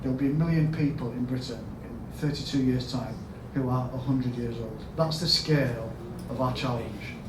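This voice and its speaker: A man with a Yorkshire accent giving a storytelling conference talk as a health service chief executive, asking the audience questions and rising to loud emphatic bursts. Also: mid-range voice, moderate pace, few pauses. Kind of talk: storytelling keynote speech. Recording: echoey hall, mic at a distance, background noise.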